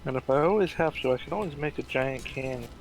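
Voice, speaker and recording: A man's voice: mid-range voice, quick pace. A man chatting casually as a teammate in a game. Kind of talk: casual chat. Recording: faint noise on voice chat.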